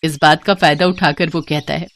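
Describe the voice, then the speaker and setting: A woman's voice: deep voice, steady tone, normal speed. A woman reading scripted moral-story narration as a storyteller.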